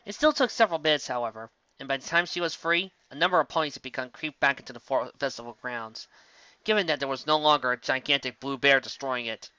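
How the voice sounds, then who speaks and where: Story narrator: bright, forceful mid-pitched voice, scripted read with small stumbles, dry and slightly dull recording. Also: fast, clean tone, no room sound.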